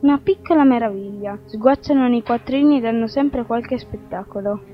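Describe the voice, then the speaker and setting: Woman as patient opera agent, persuasive, fairly high voice, radio drama, muffled lo-fi sound. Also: moderate pace, soft background music, lightly acted. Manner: placating, persuasive. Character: smooth, patient, flattering male opera agent.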